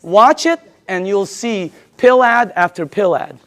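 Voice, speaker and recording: A woman's voice: medium pitch, lively intonation, measured pace, a bit bright. A woman from the Philippines giving an informal sales presentation, emphatic and repetitive, often putting rhetorical questions to her listeners.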